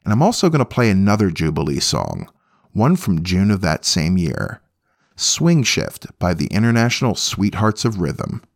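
A man's voice: low-pitched, huge pitch swings, normal speed, vocal fry. A man hosting a music podcast in a casual, folksy, self-deprecating way. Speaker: podcast host.

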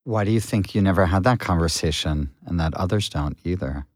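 A man's voice: sits low, fairly quick, dry recording, studio quality. A man interviewing a guest, asking curious questions with a light joking touch.

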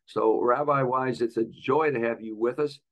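A man's voice: medium pitch, normal speed. A man hosting a podcast as a pastor, introducing and questioning a guest in conversation. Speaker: podcast host. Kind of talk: conversational interviewing.

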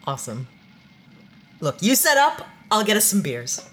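A woman playing an easygoing, caring space-crew companion in a naturalistic sci-fi audio drama, casual and gently coaxing. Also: medium pitch, very animated, a little slow.

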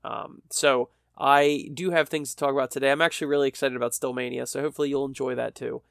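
A man's voice: medium pitch, little pitch movement, fast, creaky, fairly bright tone, clean tone, dry recording, clean studio sound. A man hosting his own podcast, tired and stuffy with a cold, rambling with self-mocking humor. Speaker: podcast host. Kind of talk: solo podcast chat. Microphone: podcast microphone.

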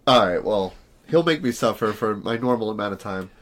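A man co-hosting a casual podcast, his voice hoarse and raspy from allergies, chatting in a rambling, self-deprecating way. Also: animated, fairly quick. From Southern California. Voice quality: naturally deep voice, raspy today. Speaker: podcast co-host.

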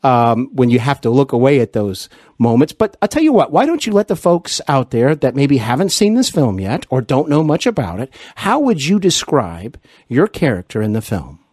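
An effusive man hosts a conversational interview podcast. His pitch moves in lively swings around a medium register. The sound is close and dry, and he is generous with compliments. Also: normal speed, no room sound.

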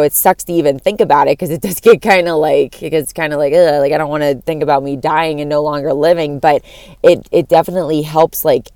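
A young woman from Florida with a deep voice that cracks at times, musing on a casual solo podcast in a rambling, profane way full of fillers. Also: darkish tone, clean tone, no room sound. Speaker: podcast host.

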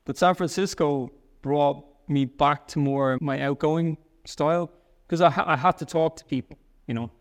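A man in his thirties with a soft Irish accent, talking earnestly in an interview, often restarting and using "like". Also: a little high, in a large hall. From Ireland. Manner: earnest, quick, with restarts and "like" fillers. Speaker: guest. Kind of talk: conversational interview.

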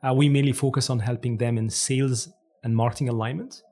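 A man's voice: mid-range voice, fairly even intonation, fast, darkish tone, slight background noise. A man from Egypt, talking enthusiastically as an interview guest with frequent fillers. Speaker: interview guest, business founder. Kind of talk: conversational interview.

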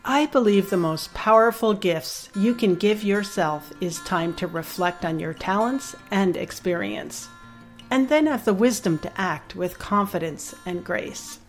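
A woman's voice: medium pitch, lively intonation, a little slow, faint music behind. A woman hosting a podcast, polished and upbeat as she introduces her guest and asks questions. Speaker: podcast host. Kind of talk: scripted intro then interview questions.

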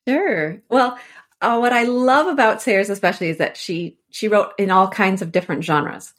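Conversational woman, middle-pitched voice. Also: normal speed, fairly bright tone. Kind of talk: conversational podcast interview.

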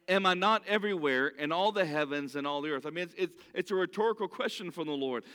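A man's voice: high-pitched, pushed and bright, clean tone. A man preaching a sermon in an earnest way, often restarting his sentences, for whom English is not his first language. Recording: long hall reverb.

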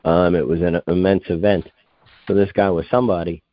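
Deep-voiced man in his fifties, rambling. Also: steady tone, fairly quick, lo-fi sound. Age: 50s. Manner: meandering, self-correcting, digressive. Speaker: guest researcher. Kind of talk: rambling conversational interview.

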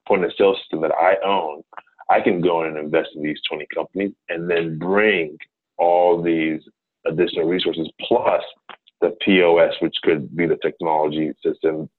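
A man in his thirties from Ghana, a business consultant talking casually as a guest, rambling with many fillers and restarts. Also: low-pitched. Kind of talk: casual interview talk. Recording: tinny phone-like line.

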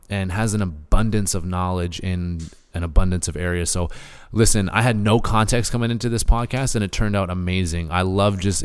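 A man hosting a podcast, talking casually and with excitement, full of fillers and hype for his guest. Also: deep voice, smooth, in a large hall. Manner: excitable, hyping, lots of fillers. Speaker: podcast host.

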